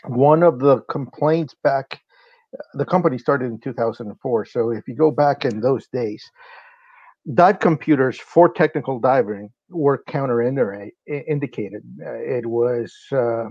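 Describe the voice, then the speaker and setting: A man's voice: mid-range voice, measured pace, no echo, highs cut off. A man from Honduras, a company's sales and marketing director, explaining things at length in a casual interview with many fillers.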